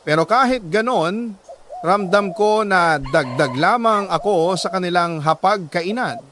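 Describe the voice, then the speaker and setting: A higher-pitched male voice of a storyteller playing a weary middle-aged working man recounting his life. He speaks solemnly and earnestly, pausing for drama. There is some noise behind the voice. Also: animated. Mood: reflective, sorrowful. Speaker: storyteller narrating a listener's letter. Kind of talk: dramatic scripted first-person story narration.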